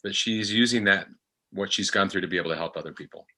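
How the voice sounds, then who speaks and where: A man talking reflectively in a discussion, earnest and often pausing to search for his words. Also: low-pitched, bright, forceful tone. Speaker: podcast actor, guest. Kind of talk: reflective discussion of a podcast episode.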